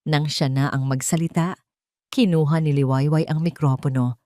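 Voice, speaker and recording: A woman's voice: low-pitched, fairly even intonation, moderate pace, mellow, dry recording, clean studio sound. A woman with a Filipino accent narrating a dramatic scripted audio story.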